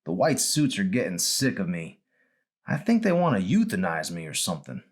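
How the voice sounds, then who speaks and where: A man's voice: low-pitched, wildly swooping pitch, normal speed, husky, exaggerated voice. A man reads as a frail old hospital patient in a storybook. He is ornery and fond of teasing, with a voice that rises and falls freely. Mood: grumbling, teasing. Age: older.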